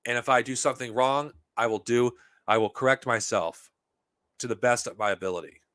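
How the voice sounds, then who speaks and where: A man hosting a podcast, talking off the cuff to his viewers in a heated, profane, rambling way, his voice getting worn and hoarse. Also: mid-range voice, brisk, fairly bright tone, no room sound, studio quality. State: losing his voice. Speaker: podcast host. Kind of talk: unscripted monologue to viewers.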